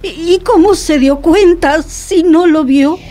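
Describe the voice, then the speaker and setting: A woman's voice: extremely high pitch, a little slow, warm tone, faint music behind. A woman playing a sceptical, theatrical and lightly sarcastic character in a radio comedy drama. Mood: sceptical, indignant.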